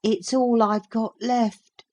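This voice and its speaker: A woman reading aloud, voicing a kind, shy young male apprentice who speaks gently and hushed. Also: a little high, little pitch movement, takes it slowly, no echo, pitched above the performer's own voice. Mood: gentle.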